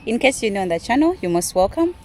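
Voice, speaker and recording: A young Ugandan woman with a Ugandan accent, chatting excitedly to her viewers in a casual outdoor vlog from a garden. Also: mid-range voice, fast talker, a little hiss or hum. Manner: excitable, chatty, addresses viewers as "you guys". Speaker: vlogger. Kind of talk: casual vlog talk to camera.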